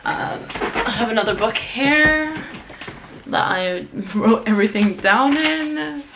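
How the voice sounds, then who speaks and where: A woman's voice: a little high, lively intonation, slow pace, background noise. A young woman from Sweden who vlogs, chatting to her camera in a casual, rambling way, with plenty of fillers and restarts. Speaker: vlogger.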